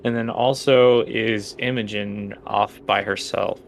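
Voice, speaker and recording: Male game master, low and croaky, improvising narration in halting phrases. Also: fairly even intonation, a little hiss or hum.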